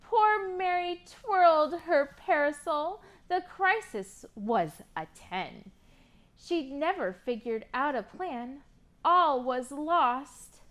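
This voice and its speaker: A woman's voice: sits high, lively intonation, slow, a bit bright. A woman hosting a library storytime for young children, reading expressively and prompting them with encouraging questions. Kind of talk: picture-book reading and sing-along for young children.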